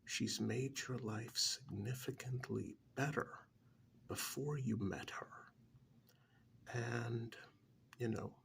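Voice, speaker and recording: A man playing a calm, frank therapist in a soft-spoken ASMR role-play, talking in a measured, halting, half-whispered way close to the microphone. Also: mid-range voice, gravelly, a little hiss or hum. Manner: measured, halting, matter-of-fact, professional. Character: calm, frank male therapist counselling a troubled married man.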